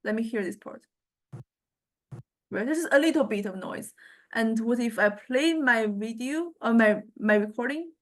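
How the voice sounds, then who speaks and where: A woman with a fairly high voice teaches as a university lecturer. She walks through a software demonstration informally, in a halting, explanatory way, often pausing to check that listeners follow. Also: expressive.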